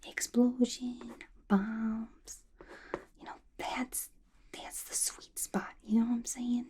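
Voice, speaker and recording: A woman playing a young, playful, violent-minded female villain in an ASMR roleplay, gossiping to the listener in a chatty, conspiratorial breathy whisper. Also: fairly high pitch, little pitch movement, slow pace. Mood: gossipy, scornful. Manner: chatty, conspiratorial, giggly, colloquial. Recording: clear background noise, soft clicks.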